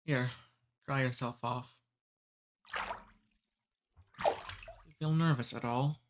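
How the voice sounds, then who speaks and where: A man voicing a friendly, centuries-old male demon in an ASMR roleplay. He talks calmly and teases gently. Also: medium pitch, slow, noisy background, close to an everyday voice. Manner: calm, friendly, gently teasing.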